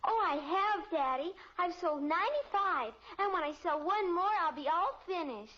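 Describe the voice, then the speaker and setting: An earnest, excitable little American daughter from an old radio comedy. The voice is extremely high and sharp, on a muffled vintage recording. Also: female voice, normal speed, heavily acted. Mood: eager, proud. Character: eager, earnest little daughter, easily upset. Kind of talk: 1950s radio sitcom acting.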